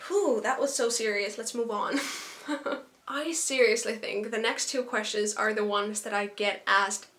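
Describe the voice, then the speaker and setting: A woman's voice: mid-range voice, a little slow, fairly bright tone. A young woman from Finland with a Finnish accent, whose first language is Finnish, chatting earnestly to camera from her small bedroom. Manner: chatty and earnest, with little laughs. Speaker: YouTuber. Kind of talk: casual talk to camera. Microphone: camera in front at close range.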